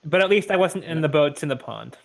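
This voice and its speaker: A man's voice: on the high side, animated, fairly quick, gravelly. A man playing a tabletop game, chatting casually and asking the game master questions. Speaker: player.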